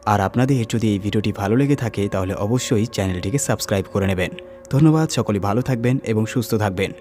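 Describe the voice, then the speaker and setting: A man narrates a horror tale in a dramatic, scripted manner. His voice sits low in pitch, and music runs behind him as he tells the story. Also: fast talker, a little mellow.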